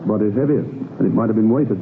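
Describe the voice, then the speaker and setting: An actor playing an observant police inspector, musing conversationally in an old-time radio crime drama. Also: male voice, lowish voice, fast, natural voice. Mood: pondering. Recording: muffled old radio recording, faint noise.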